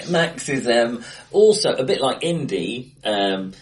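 A man co-hosting a casual film discussion, rambling with many ums and quick laughs, recorded in a bright, echoey room. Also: medium pitch, expressive. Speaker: podcast co-host. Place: acoustically bright room, face to face. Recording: bright room, voices a bit off-mic.

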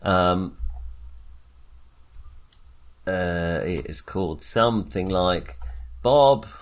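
A man co-hosting a podcast, talking casually about films in a hesitant, halting way. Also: low-pitched. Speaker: podcast co-host. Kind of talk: casual film discussion.

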